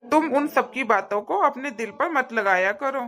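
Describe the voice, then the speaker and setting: A woman's voice: high voice, lively intonation, moderate pace, music in the background. A woman voicing a supportive, firm young husband in a cartoon family drama, earnest and reassuring. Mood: firm devotion.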